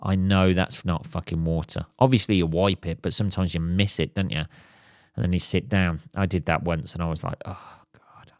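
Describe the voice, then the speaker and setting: Male host, slightly hoarse, crude and jokey solo ramble, dry and close. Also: deep voice, steady tone, normal speed, no room sound. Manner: crude, swears a lot, jokey. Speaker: podcast host. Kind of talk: casual solo podcast rambling. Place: at home.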